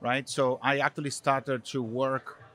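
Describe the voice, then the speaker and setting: A man's voice: medium pitch, level tone, a little slow, fairly bright tone. Middle-aged Brazilian man with a Brazilian accent, an interview guest who rambles loosely through his answers with plenty of fillers. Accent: Brazilian Portuguese. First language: Portuguese. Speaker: guest, marketing executive.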